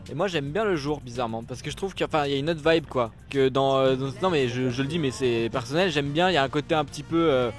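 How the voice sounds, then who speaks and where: A man with a middling pitch speaks tentatively and hedges his views in a casual chat. Music plays clearly behind him. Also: fast, hardly any pauses. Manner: tentative, hedging. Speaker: band member. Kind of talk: casual festival interview.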